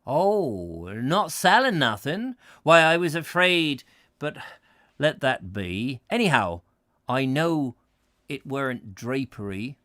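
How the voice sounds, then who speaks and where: A man's voice: mid-range voice, big pitch swings, fairly bright tone, no room sound, studio quality. A man doing a genial old country pedlar. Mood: curious. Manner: drawling old-fashioned rural dialect, slow and hearty.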